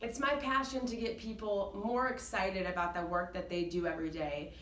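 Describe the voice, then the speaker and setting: A woman giving an earnest, enthusiastic unscripted pitch about workplace values, with a loud voice. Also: steady tone, moderate pace. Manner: enthusiastic, earnest, says she has a loud voice. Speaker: employee applying to a company culture club. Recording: roomy, slightly distant mic with faint background noise.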